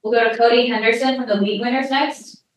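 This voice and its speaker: Woman moderator, far from mic in a super echoey room, slightly high voice, calling names. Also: steady tone, quick pace. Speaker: press conference moderator. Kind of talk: brief announcements of the next questioner. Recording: echoey, distant mic with noise.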